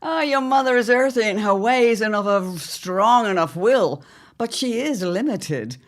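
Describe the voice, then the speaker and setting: A woman playing a domineering older mother-in-law in an audio drama, pompous and condescending, with drawn-out, theatrical emphasis. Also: mid-range voice, expressive, natural voice. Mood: grandly condescending. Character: haughty, domineering, sly older mother-in-law, needling and grandiose.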